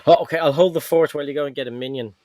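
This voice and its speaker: A man's voice: a little high, racing, no room sound. A middle-aged man hosting a casual livestream from a home room, reading viewer comments and joking with his son. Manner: relaxed, joking, talks to his son on camera. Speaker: host of a Lego livestream. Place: home room full of Lego shelves.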